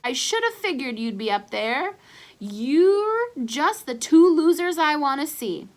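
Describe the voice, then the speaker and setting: A woman's voice: high-pitched, very animated, unhurried, voice pushed up, full character voice. A woman voicing a sneering, threatening boy bully who brags and taunts in a children's storybook. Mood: menacing, boastful. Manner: taunting, jeering. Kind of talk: children's storybook read-aloud character.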